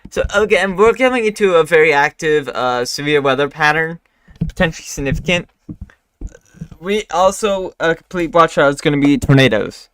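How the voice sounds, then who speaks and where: A man's voice: fairly high pitch, lively intonation, moderate pace, a bit bright, no room sound. A man hosting a live weather forecast stream, talking casually with many fillers and restarts, his voice still recovering after he lost it. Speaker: weather livestream host.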